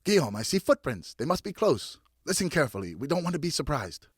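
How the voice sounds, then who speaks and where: A man voicing a bossy, excitable older boy in an audiobook, cocky and commanding, full of exclamations. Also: fairly high pitch, quick pace, breathy edge, dry recording, studio quality. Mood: scheming, excited. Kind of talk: audiobook character voice.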